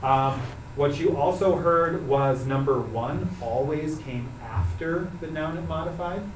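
A young man teaching a language class, explaining patiently with relaxed fillers. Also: medium pitch. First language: English. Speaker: Hebrew teacher. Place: carpeted classroom, students seated around. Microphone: far camera. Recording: echoey room from far off, noisy.

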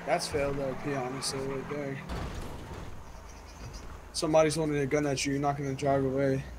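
A man's voice: mid-range voice, brisk. Slangy man gaming over car noise. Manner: slangy, profane, animated. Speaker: gamer playing online roleplay. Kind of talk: casual gameplay chat with friends. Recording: noisy game audio with car engines. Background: game car and street noise.

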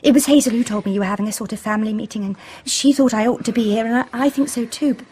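A woman's voice: a little high, rapid-fire, darkish tone, slight background noise, close to an everyday voice. An actress in a radio play as a nervous, unsure English wife, flustered and apologetic, hesitating as she talks. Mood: anxious. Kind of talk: radio drama acting.

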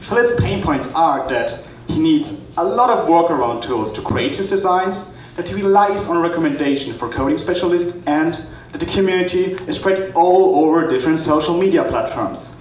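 Young man, student presenter, high voice, rehearsed pitch, distant echoey recording with faint noise. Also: expressive, moderate pace, few pauses. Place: stage in a hall with an audience. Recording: echoey, noisy hall, distant microphone pickup.

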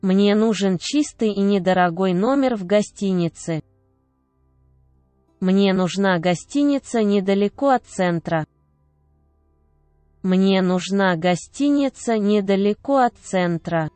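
A woman reading short phrases aloud one at a time, each clearly enunciated and measured. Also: medium pitch, animated, darkish tone, dry recording, soft background music. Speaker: Russian phrase reader. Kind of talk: slow repeated phrase reading.

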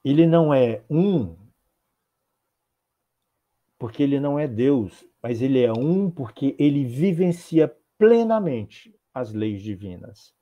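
A man in his sixties with a Brazilian accent, giving a religious talk from a home office over a video call, in measured, rhythmic phrases. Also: mid-range voice, expressive, highs cut off. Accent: Brazilian Portuguese. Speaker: spiritist lecturer. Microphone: earphones with mic on a video call.

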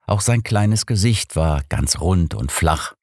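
A man's voice: sits low, expressive, slow pace, a little mellow, no room sound, studio quality. A man reading scripted first-person story narration as an audiobook narrator.

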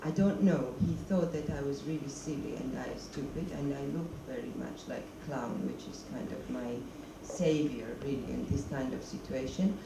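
Gravelly-voiced woman in an echoey hall. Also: extremely low pitch. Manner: searching for words, non-native English. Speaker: documentary filmmaker, guest. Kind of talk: stage Q&A interview. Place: stage of a screening hall, seated. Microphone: handheld mic. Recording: echoey hall, noisy, mic from a distance.

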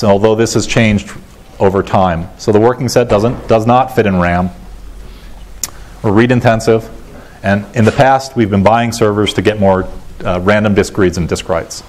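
A middle-aged American man giving a technical conference talk in a measured, matter-of-fact way, pausing often as he goes. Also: on the low side, darkish tone, a little hiss or hum. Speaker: conference keynote speaker. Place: large lecture auditorium, indoors. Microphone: lapel mic.

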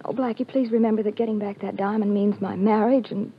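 A woman's voice: mid-range voice, fairly even intonation, a little fast, lightly acted. An actress playing a desperate young engaged woman in trouble, pleading earnestly in 1940s American radio melodrama style. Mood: anxious, confiding. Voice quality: breathy. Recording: muffled 1940s radio recording.

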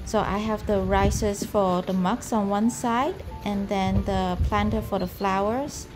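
Gentle slow-talking woman in her 30s walking through her pottery packing, music under it. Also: mid-range voice, steady tone. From Canada. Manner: slow, gentle, careful delivery. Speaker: potter vlogger. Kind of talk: calm explanatory vlog narration. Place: home room indoors. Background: background music.

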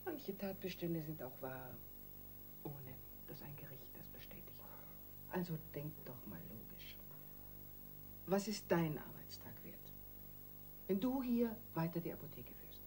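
A woman's voice: on the low side, mellow, slight background noise, rough recording. An actress in a German radio crime drama playing a calculating, insistent wife who works on her husband with emphatic persuasion.

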